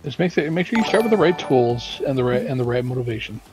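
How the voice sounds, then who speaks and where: A man's voice: mid-range voice, animated, fast, over music. A man giving informal advice as an audio lead on a live stream, stressing points by repeating words.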